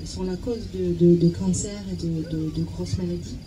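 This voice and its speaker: A woman's voice: on the low side, fairly even intonation, normal speed, dark tone, rough recording. A woman interpreting informally phrase by phrase, hesitant, searching for words and repeating herself. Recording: clear background noise with chirping insects.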